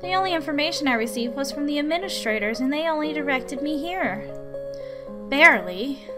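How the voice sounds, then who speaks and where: A woman's voice: high-pitched, animated, fairly quick, music in the background. A woman voicing a formal, proud, dutiful tutor in a visual novel, with precise wording. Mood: brisk, frank.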